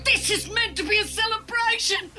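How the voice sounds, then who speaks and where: A doting middle-aged mother speaks slowly in a high, bright voice over faint music. She is effusive, pleading and protective, in naturalistic audio drama style. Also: exaggerated voice. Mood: distressed, pleading. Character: emotional, doting, protective mother of grown sons.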